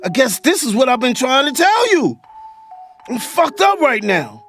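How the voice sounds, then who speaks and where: A man's voice: extremely high pitch, huge pitch swings, a little slow, fairly bright tone, faint music behind, heavily acted. A man playing an evasive, defensive cheating boyfriend in an audio drama. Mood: defensive, defeated.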